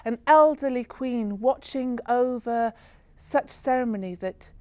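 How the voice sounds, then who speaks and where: A woman storyteller giving a solemn, reflective spoken-word narration in a slow, ceremonial manner. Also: on the high side, mellow, no echo.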